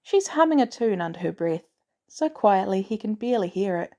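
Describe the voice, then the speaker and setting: A woman's voice: mid-range voice, big pitch swings, fairly quick, warm tone. A woman reading a scripted story aloud as a narrator. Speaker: audiobook narrator of fan fiction.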